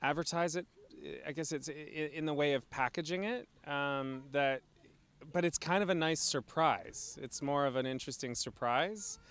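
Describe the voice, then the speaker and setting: A man's voice: a little high, normal speed, fairly bright tone, smooth. A man visiting the town, speaking casually and tentatively in an interview with many fillers.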